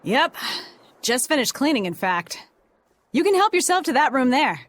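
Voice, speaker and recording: High, lilting female innkeeper. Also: big pitch swings, moderate pace. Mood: obliging. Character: obliging, friendly female innkeeper. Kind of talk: English video game voice acting.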